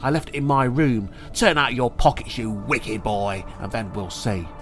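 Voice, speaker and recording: Guy doing a bossy furious woman lodger in a kids storybook, scolding and loud, stretches words out. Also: medium pitch, steady tone, soft background music, lightly acted. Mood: furious, accusing. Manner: forceful, scolding, emphatic drawn-out delivery. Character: angry, accusing, bullying woman lodger.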